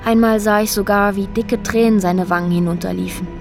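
An actress playing a woman who recounts a strange, disturbing ordeal in a reflective, halting, matter-of-fact radio drama monologue. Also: medium pitch, fairly even intonation, gravelly, darkish tone, lightly acted. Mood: absorbed, subdued. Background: music under the voice.

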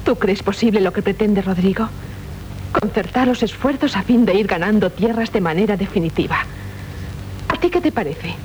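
A woman's voice: animated, normal speed, a little mellow, lightly acted. An actress playing a proud, impetuous young noblewoman of medieval Castile in theatrical radio drama, with a Castilian Spanish accent. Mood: thoughtful. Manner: haughty, impetuous, melodramatic. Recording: noisy, rumbling 1970s radio recording.

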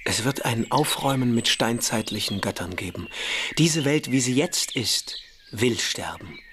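An actor playing a calm, prophetic young man who speaks solemnly and with assurance in a German radio play. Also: mid-range voice, measured pace, background noise, natural voice. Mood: grave, prophetic.

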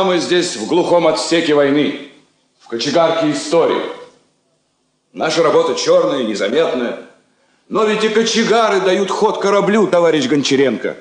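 An actor playing a wry, philosophical embassy counsellor, dry and deliberately rhetorical, in stage-style radio drama. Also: male voice, on the high side. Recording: echoey old studio drama recording.

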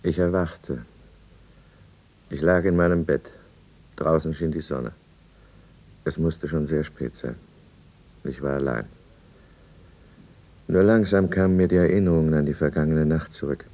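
Very deep, brooding confessional narrator. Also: male voice, exaggerated voice. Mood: brooding, remorseful. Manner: measured, brooding. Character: remorseful male drinker confessing his own downfall. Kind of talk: scripted first-person narration in 1950s German radio drama. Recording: dull, muffled 1950s radio recording.

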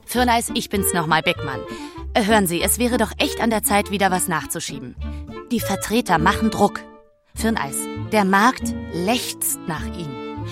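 Radio play: actress as insistent, exasperated woman publisher, medium register, brisk and sarcastic. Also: mid-range voice, expressive, music in the background, lightly acted. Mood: urgent, coaxing. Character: pushy, exasperated Berlin woman publisher badgering her author. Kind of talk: German radio play acting.

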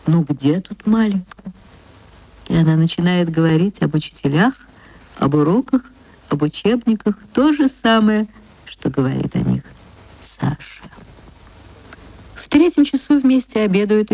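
Mellow, low-voiced woman narrating a literary story. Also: no room sound, low-quality recording. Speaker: actress reading a short story.

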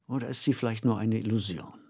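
A man co-hosting a podcast, talking in a conversational, reflective way with measured phrasing. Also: lowish voice, steady tone, a little mellow, slightly rough. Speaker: podcast co-host.

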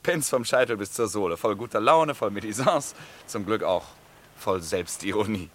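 An urbane, low-voiced count. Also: male voice, expressive, normal speed, a bit bright. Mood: amused. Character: good-humoured Danish-court count, teasing middle-aged husband and father. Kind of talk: literary radio drama acting.

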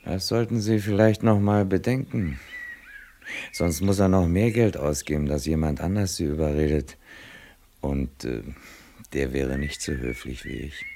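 A man's voice: rumbling low, unhurried, warm tone, slight background noise. An actor playing a polite, insinuating henchman with a faint menace in a radio crime drama. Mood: veiled threat. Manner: courteous, insinuating.

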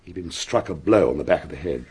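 Very husky upper-class English gentleman. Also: deep voice, lively intonation, fast talker, heavily acted. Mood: sombre. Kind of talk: radio drama acting.

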